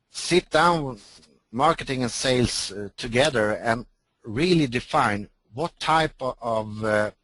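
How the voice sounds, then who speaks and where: A man with a Swedish accent, a native Swedish speaker, co-hosting a webinar and answering in halting English with many restarts. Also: medium pitch, fairly bright tone, no room sound, rough recording. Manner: halting, many restarts and fillers, searching for words. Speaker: webinar co-host.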